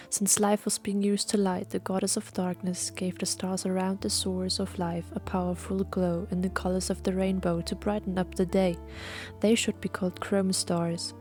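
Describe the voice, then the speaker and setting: A woman reading scripted fantasy audio play narration in a solemn, measured storytelling manner. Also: medium pitch, warm tone. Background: music.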